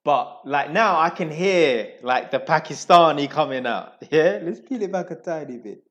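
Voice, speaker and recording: A man reacting to a clip on a stream, animated and repeating lines mockingly. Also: a little high, normal speed. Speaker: commentator on a live stream. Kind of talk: casual reaction commentary.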